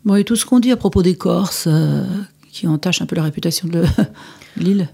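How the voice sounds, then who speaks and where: Woman interviewer, lowish mellow voice, gentle prompting questions. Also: normal speed, creaky, breathy edge. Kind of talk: interview for a language course.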